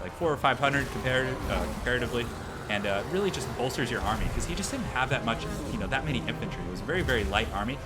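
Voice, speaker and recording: A man with a voice hoarse from a cold, casually commentating a replay of a strategy game for viewers. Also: medium pitch. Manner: casual, chatty, addresses viewers as guys. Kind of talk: gameplay commentary.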